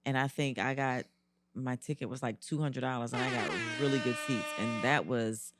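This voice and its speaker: A woman with a raspy voice co-hosting a podcast in casual chat, playful and quick to laugh while teasing back. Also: rumbling low, steady tone, loud music behind the voice. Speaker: podcast co-host.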